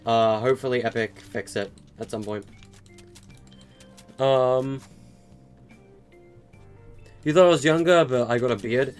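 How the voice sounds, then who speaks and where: A man's voice: medium pitch, measured pace, long pauses, faint music behind. Rambling young man streaming a game. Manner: rambling, with frequent fillers and stutters. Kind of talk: casual live-stream chat answering viewers.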